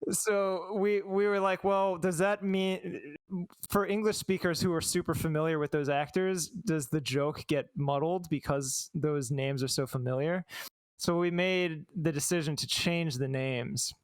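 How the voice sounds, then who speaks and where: A man with a slightly high, clear voice explains things in a casual chat. The recording is dry, with hardly any room sound. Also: fairly even intonation, unhurried, no echo. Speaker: game translation team member.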